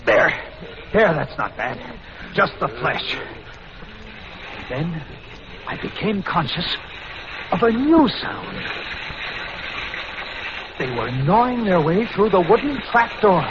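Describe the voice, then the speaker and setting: Tense, dramatic radio narrator. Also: male voice, sits high, big pitch swings, full character voice. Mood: grim, tense. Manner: suspenseful, dramatic, deliberate phrasing. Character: tense, steady man recounting his own ordeal. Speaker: narrator and lead character. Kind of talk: 1950s radio drama first-person narration. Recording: dull, noisy old radio recording.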